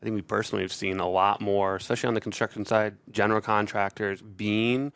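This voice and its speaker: Male podcast guest, trainer, fairly low voice, conversational delivery, very dry close sound. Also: level tone, fast talker, a bit bright, smooth, dry recording. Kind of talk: casual chat.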